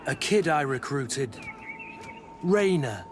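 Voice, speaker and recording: A veteran soldier in a video game, brooding and suspicious. He talks bluntly in few words at a middle pitch, over clearly audible outdoor noise. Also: very animated, slow pace. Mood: tense. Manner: terse, blunt. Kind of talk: video game dialogue acting.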